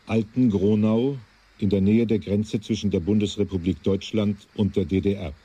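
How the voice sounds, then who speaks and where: A man's voice: on the low side, steady tone, normal speed, mellow, breathy edge, dull audio. A man playing a sober, ominous narrator and announcer in scripted radio-play narration.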